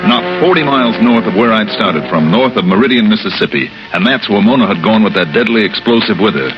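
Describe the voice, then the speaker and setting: A man's voice: lowish voice, expressive, quick pace. An actor playing a hard-boiled male insurance investigator who narrates his own case in clipped, wisecracking 1950s radio style. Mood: narrating. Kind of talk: 1950s radio drama acting. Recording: dull old radio broadcast with loud music.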